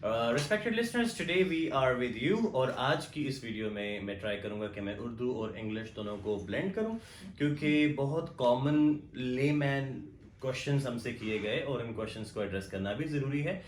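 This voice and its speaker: A man with a Pakistani accent hosting a language exam Q&A, explaining in a measured, step-by-step way. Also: mid-range voice, lively intonation, reverberant, slight background noise. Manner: mixes Urdu and English, measured explanatory delivery. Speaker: host. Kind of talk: bilingual Q&A on language exams.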